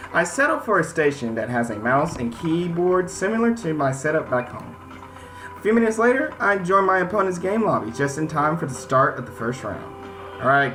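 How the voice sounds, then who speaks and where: Animated American man over game music. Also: a little high. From United States. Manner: informal, stammering, repeats words. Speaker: gaming video host. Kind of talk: casual let's-play commentary, reading game text aloud. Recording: music behind the voice.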